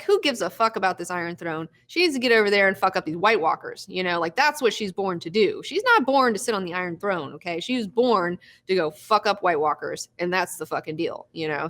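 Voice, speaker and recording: A woman's voice: mid-range voice, animated, brisk, fairly bright tone. A young woman hosting a live recap show, chatting casually, laughing often and swearing freely.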